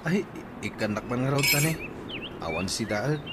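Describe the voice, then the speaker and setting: A man's voice: mid-range voice, lively intonation, moderate pace, noisy background, natural voice. A man playing a weary, timid, complaining husband in an Ilocano comedy radio drama, with plaintive exclamations. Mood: grumbling.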